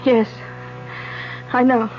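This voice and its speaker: An actress playing Helen, a distraught widow who stammers and turns tearful, in 1940s radio-drama style. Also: female voice, fairly high pitch, level tone, drawn-out words, a little hiss or hum. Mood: quiet. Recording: dull old radio broadcast with music.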